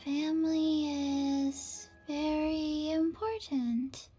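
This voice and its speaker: Very slow, solemn little girl. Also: high-pitched, soft background music. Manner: halting, deadpan. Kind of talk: video game voice acting.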